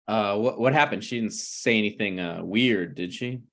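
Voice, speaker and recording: A man's voice: lowish voice, moderate pace, fairly bright tone. A man playing a shameless, self-serving friend in a comedy table read, glib and unbothered, with hesitant conversational phrasing. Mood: sheepish.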